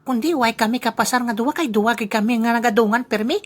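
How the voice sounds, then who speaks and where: A woman voicing an excitable, nosy male buddy gossiping in a comic audio drama, on a noisy recording. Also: a little high, fast talker, lightly acted. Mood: nosy, excited. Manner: exclamatory, prying. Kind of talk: comedic audio drama dialogue.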